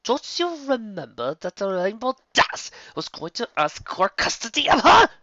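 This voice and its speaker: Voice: mid-range voice, sing-song, normal speed, sharp tone, dry recording, muffled recording, pitched above the performer's own voice. A narrator voicing a menacing, enraged male pony villain in a stern, formal, emphatic manner. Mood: accusing.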